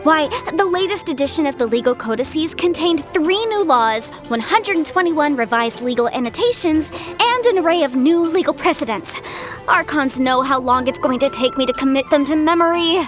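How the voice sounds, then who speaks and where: A woman's voice: high voice, normal speed, soft background music. A woman voicing a confident, chatty young female legal adviser in a video game, articulate and slightly bookish. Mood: determined. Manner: articulate, expressive, self-assured, slightly bookish. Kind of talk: scripted game character voice lines.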